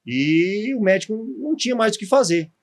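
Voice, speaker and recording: Gaúcho man, 40s, ex-footballer guest, slightly high voice, chatty storyteller. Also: very animated, normal speed. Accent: gaúcho Portuguese. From southern Brazil. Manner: storytelling, frequent tag questions. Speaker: guest, former pro footballer. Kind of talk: podcast interview.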